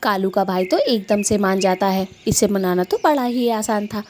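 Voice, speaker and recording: A woman reads as the narrator of a children's cartoon story. Her voice is medium in pitch, and some faint noise and birdsong sit behind it. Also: normal speed, a little mellow.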